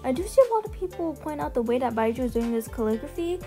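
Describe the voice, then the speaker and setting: A woman with a fairly high voice talks casually, as if running through a ranked list for viewers. She is informal and chatty and swears freely. Music plays faintly behind her. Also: sing-song, quick pace, mellow. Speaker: video host ranking game character demos. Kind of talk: casual commentary over video clips. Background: background music.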